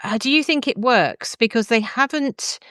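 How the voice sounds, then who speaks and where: A woman's voice: fairly high pitch, moderate pace, fairly bright tone, no echo, clean studio sound. A woman hosting a podcast, discussing books in an articulate, explanatory way. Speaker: podcast host. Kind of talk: thoughtful podcast book discussion.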